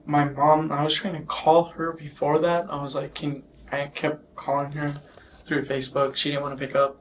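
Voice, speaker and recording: Shaken higher-voiced man, flat delivery. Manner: shaken, halting, repeats himself. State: getting over a sickness, shaken up. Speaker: interviewee in police questioning. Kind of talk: halting recounting in a police interview. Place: police questioning, recorded on bodycam. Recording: noisy police bodycam audio.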